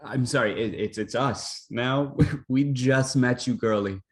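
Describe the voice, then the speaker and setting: A man's voice: medium pitch, unhurried, husky. A man playing a skeptical, dismissive colleague in a table read.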